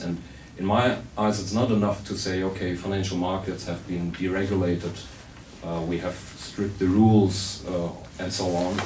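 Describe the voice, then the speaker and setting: A man with a sore throat and a soft voice, giving a blunt, wry informal talk to an audience, restarting his sentences often. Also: deep voice. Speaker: speaker at a public debate. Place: hall with an audience. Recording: roomy, distant hall recording with faint noise.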